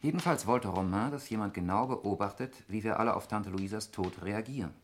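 An actor playing an irritable, suspicious lawyer in a 1960s German radio murder mystery, speaking in a precise, argumentative way. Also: male voice, lowish voice, a little fast, close to an everyday voice. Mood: suspicious, scornful. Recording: noisy 1960s radio recording with clicks.

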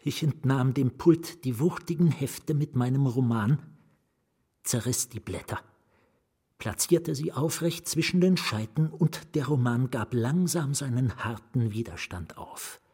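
An actor playing an anguished, fearful novelist in a radio drama, narrating his own ruin with a husky voice that drops into whispers. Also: mid-range voice, expressive, mellow. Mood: haunted, intense. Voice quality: husky, breathy. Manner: deliberate, dropping into whispers. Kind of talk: literary radio drama first-person narration.

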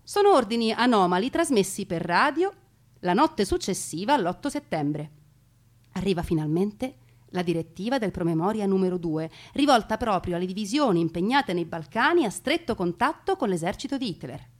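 A woman performing scripted theatrical storytelling, dramatic and deliberate with her pauses. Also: mid-range voice, sing-song. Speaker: stage narrator and performer.

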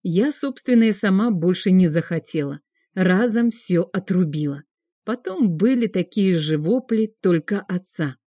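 A woman's voice: on the low side, lively intonation, measured pace, warm tone, no room sound, clean studio sound. A woman reading a scripted first-person story as an audiobook narrator.

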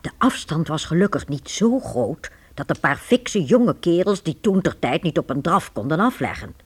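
A woman storyteller narrates a radio drama. Her voice is husky and lively, moving freely up and down as she reads the scripted tale. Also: deep voice, normal speed. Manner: animated, storybook delivery.

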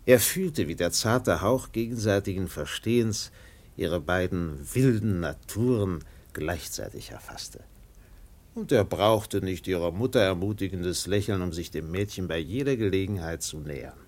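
An actor playing a grave, impassioned seafaring storyteller, narrating a literary radio play with dramatic swells and pauses. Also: male voice, low-pitched, darkish tone.

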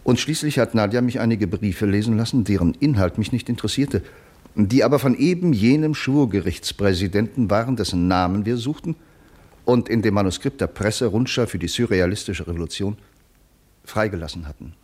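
A reflective writer played by an actor reads out his diary in a radio play. His voice is deep and husky, and his delivery is measured and formal. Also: darkish tone, natural voice. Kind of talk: literary radio drama narration.

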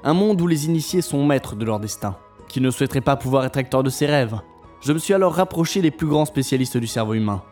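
A man's voice: medium pitch, expressive, a little mellow, faint music behind, natural voice. An actor playing a composed, courteous male game inventor answering a radio interview in formal phrasing, in an audio drama. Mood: composed, explanatory. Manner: polite, measured formal phrasing.

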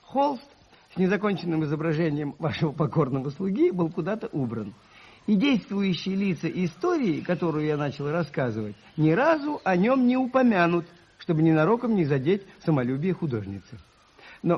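An actor playing a gentle, modest priest telling an old tale in an unhurried, courteous radio drama style. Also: male voice, fairly high pitch, sing-song, slightly rough. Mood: modest, wry. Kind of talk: 1980s Soviet radio drama acting, storytelling. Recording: slightly muffled vintage radio recording.

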